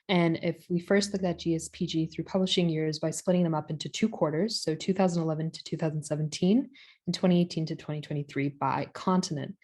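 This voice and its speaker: A young woman presents her project findings over a video call. Her voice sits a little low and sounds mellow and warm. She pauses, says "um" often and corrects herself. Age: young adult. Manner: hesitant, with fillers and self-corrections. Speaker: student presenter. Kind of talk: online capstone presentation.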